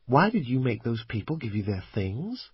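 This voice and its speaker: A man's voice: mid-range voice, sing-song, normal speed, slightly rough, dry recording, dull audio, pitched above the performer's own voice. A man voicing a curious young girl in an audiobook, speaking in simple questions. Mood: curious, confused. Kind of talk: audiobook character acting.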